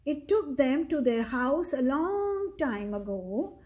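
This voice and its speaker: A woman reading a children's story aloud in a deliberate, instructive manner, talking directly to the listener. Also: sits high, expressive, warm tone.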